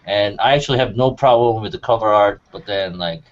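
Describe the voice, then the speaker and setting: A man's voice: on the low side, fairly quick. A man co-hosting a casual chat, talking in a slangy, sweary way. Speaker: co-host. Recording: muffled, faint room noise.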